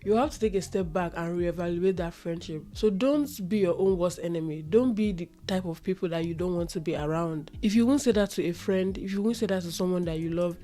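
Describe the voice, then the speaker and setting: A woman talks through a motivational podcast episode on her own. Her voice is mellow and a little nasal because she is recovering from a runny nose and an itchy throat. She sounds earnest and reflective, leans on repetition to drive her points home, and soft music plays faintly underneath. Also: on the low side, a little fast, hardly any pauses. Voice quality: slightly nasal. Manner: earnest, reflective, repeats phrases for emphasis. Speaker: podcast host. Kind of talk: solo motivational podcast talk.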